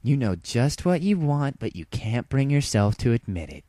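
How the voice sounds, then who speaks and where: A man's voice: mid-range voice, sing-song, moderate pace, mellow, dry recording, pitched above the performer's own voice, natural voice. A man voicing a brash young flying mare in a storybook reading, cocky and teasing. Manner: teasing, self-assured.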